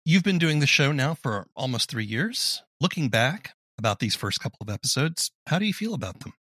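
A man's voice: mid-range voice, very animated, brisk, fairly bright tone, no room sound, studio quality. A man acting as a podcast interviewer, putting questions in a conversational way.

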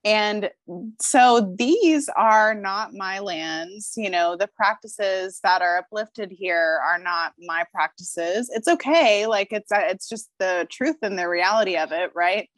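A woman guest on a podcast, animated and opinionated, talking through astrology with plenty of filler words and the odd curse. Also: medium pitch, slow pace, few pauses, dry recording. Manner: animated, opinionated, swears, lots of filler likes. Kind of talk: casual podcast chat.

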